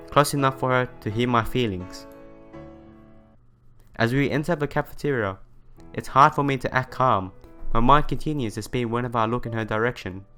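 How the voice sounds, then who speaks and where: A man's voice: mid-range voice, fairly bright tone. A young man reading visual novel narration aloud as a let's player. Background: music behind the voice.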